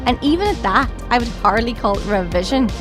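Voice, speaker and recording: A woman's voice: on the high side, lively intonation, fairly quick, close to an everyday voice. A young woman playing a cheeky, sarcastic student in an audio drama, bantering casually. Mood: teasing. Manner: teasing, sarcastic. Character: stylish young Irish student, cheeky and dismissive of boys. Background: music.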